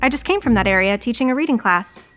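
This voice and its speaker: An actress playing a polite, hesitant nonprofit teacher in a naturalistic audio drama, courteous and tentative. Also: female voice, fairly high pitch, lively intonation, fast, fairly bright tone, faint music behind. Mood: cautious. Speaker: actor in a scripted audio drama.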